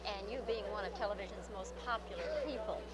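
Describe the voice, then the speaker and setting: A woman's voice: medium pitch, fast, a loud crowd. Woman interviewer, outdoor street interview. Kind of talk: friendly interview questions. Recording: 1970 film interview with street noise.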